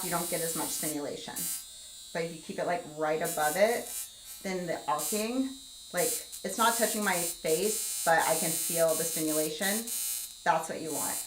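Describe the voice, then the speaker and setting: A woman in her forties talks through a home skincare treatment in an easy, chatty way, reading the chat aloud as she works. Her voice sits fairly low, and the room gives it a noticeable echo with a faint electric hum underneath. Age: 40s. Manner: chatty, explains steps while multitasking, reads chat aloud. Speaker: skincare livestream host. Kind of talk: casual live tutorial chat.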